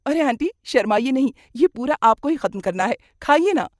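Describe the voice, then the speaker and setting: A woman's voice: high-pitched, expressive, moderate pace, no room sound, clean studio sound, voice pushed up. A woman voicing a cheerful, eager young daughter-in-law who gushes and insists, in a moral cartoon story. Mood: eager, insistent. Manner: gushing, insistent.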